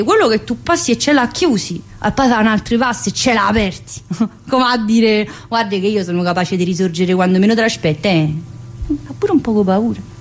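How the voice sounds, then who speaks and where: A woman's voice: mid-range voice, darkish tone, a little hiss or hum, lightly acted. An actress playing a talkative, earthy woman inmate in a theatrical monologue, rambling colloquially with a central-southern Italian accent. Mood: chatty, playful. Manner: colloquial, rambling, full of interjections.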